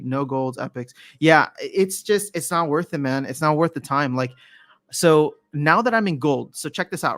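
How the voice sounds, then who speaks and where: A man's voice: on the high side, a bit bright, dry recording. A man chatting casually on a livestream, reading viewer comments and answering them, often restarting and correcting his phrases. His voice sounds a little worn from a lot of talking. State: voice slightly worn from use.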